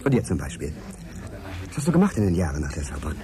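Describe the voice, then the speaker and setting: An actor playing an inquisitive, courteous middle-aged journalist in a naturalistic radio drama, probing and earnest in conversation. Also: male voice, low-pitched, huge pitch swings, fast. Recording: crowd chatter behind, café scene. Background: café crowd chatter.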